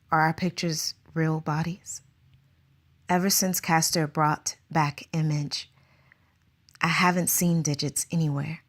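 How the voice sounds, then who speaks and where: A woman's voice: on the low side, a bit bright. A woman playing an anxious, earnest circus teacher in a radio drama, asking question after question.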